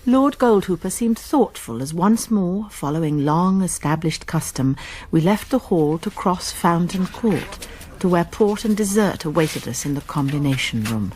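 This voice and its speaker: A composed, thoughtful woman tells a radio murder mystery in a rather low voice. Her delivery is measured and literary, with faint noise behind it. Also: expressive, a little mellow. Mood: composed, narrating. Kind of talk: literary radio drama narration and dialogue.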